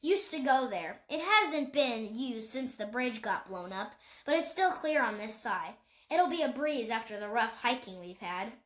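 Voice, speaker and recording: A woman's voice: a little high, lively intonation, unhurried, fairly bright tone, reverberant. A woman reading an easygoing young guide. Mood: matter-of-fact. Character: easygoing young man guiding the way.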